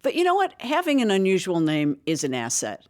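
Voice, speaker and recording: Interviewer asking short curious questions, dry humor, calm middle voice. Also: medium pitch, a little fast.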